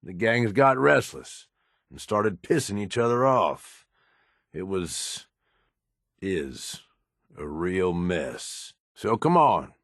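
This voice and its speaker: Deep, husky raider right-hand man. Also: big pitch swings, slow pace, a bit bright, no room sound, clean studio sound, full character voice. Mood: pressing, persuasive. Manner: blunt, coaxing, colloquial and profane. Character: gruff, streetwise male raider right-hand man, pragmatic schemer. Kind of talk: video game character dialogue.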